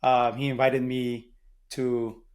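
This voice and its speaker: A man's voice: medium pitch, level tone, takes it slowly. A middle-aged man from Brazil with a Brazilian Portuguese accent, a guest in an interview, telling his career story in a thoughtful way with frequent restarts. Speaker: guest, marketing executive.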